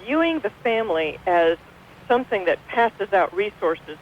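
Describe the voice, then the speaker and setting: A woman's voice: medium pitch, very animated, moderate pace. A middle-aged American woman speaking as a guest expert in a radio conversation, articulate and explanatory. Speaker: guest professor and author. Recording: dull, hissy radio broadcast.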